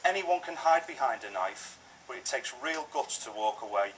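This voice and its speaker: A man's voice: mid-range voice, lively intonation, normal speed. A British man, a police officer, giving a scripted public safety appeal in a formal, earnest manner. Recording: distant, slightly noisy screen playback.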